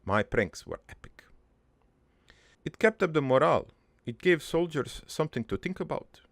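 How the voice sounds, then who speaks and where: A man playing a gruff, crude army veteran turned prankster, telling his regretful life story in a halting, matter-of-fact ramble for an improvised cartoon monologue. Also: on the high side, no echo, full character voice.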